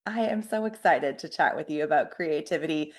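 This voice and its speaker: A woman's voice: low-pitched, fairly quick. Enthusiastic woman podcast host. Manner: upbeat, enthusiastic. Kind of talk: podcast interview hosting.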